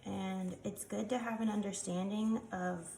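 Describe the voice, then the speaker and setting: A woman's voice: mid-range voice, fairly even intonation, unhurried, creaky, warm tone. Congested woman explaining a craft. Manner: chatty, explains while working with hands, frequent ums. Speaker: craft tutorial maker. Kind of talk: casual step-by-step demonstration. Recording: echoey room, faint background noise.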